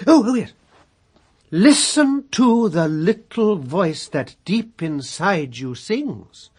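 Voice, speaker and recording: Husky, pompous alien poet. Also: male voice, high-pitched, big pitch swings, takes it slowly, darkish tone, full character voice. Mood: earnest reciting. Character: whimsical, pompous, absent-minded alien poet. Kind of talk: comic radio play acting.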